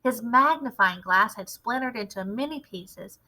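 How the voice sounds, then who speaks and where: A woman reading a children's story aloud as an expressive storyteller. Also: on the high side, measured pace, poor audio. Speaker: read-aloud storyteller, teacher.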